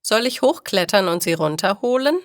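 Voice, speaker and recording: A woman's voice: medium pitch, expressive, normal speed, no room sound, natural voice. Storybook role: helpful, cheerful young man, read by a woman, friendly emphasis, very dry recording. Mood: amused.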